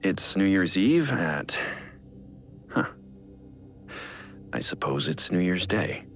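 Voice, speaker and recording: A man acting an earnest, brooding vigilante in a video game audio log, speaking in a measured, reflective, confiding way with a gravelly, very deep voice. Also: sing-song, exaggerated voice. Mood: weary, introspective. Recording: dull game audio with music behind.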